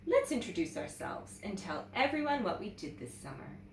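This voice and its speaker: A woman's voice: mid-range voice, very animated, moderate pace, gravelly. A woman voicing a kindly first-grade teacher in a storybook, welcoming and encouraging. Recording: roomy echo, distant mic, faint noise.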